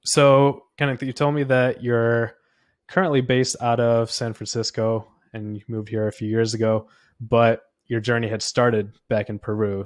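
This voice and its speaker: A man's voice: medium pitch, no room sound, clean studio sound. A man hosting an interview podcast, introducing his guest and asking questions. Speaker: podcast host.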